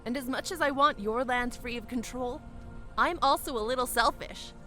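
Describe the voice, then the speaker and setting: A woman's voice: fairly high pitch, normal speed, sharp tone, soft background music. A woman playing a confident, breezy young airship pilot with a casual, self-assured manner in a fantasy audio drama. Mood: frank, determined.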